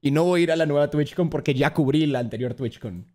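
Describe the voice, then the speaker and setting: A man's voice: on the high side, quick pace. A man in his twenties from Colombia, chatting casually and jokingly on a livestream, with slang and swearing. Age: 20s. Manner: joking, expressive. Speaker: streamer reacting to a video about himself. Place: indoor streaming room.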